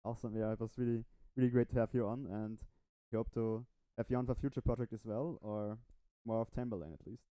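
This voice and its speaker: A man's voice: lowish voice, steady tone, fast, hardly any pauses, warm tone, dry recording, poor audio. Hesitant man hosting a livestream. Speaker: livestream host.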